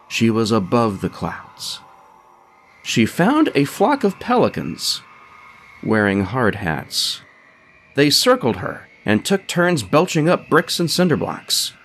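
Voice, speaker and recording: A man reading a scripted comic storybook tale as narrator, with dry humour in an expressive delivery. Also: mid-range voice, slight background noise. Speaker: narrator, writer and producer.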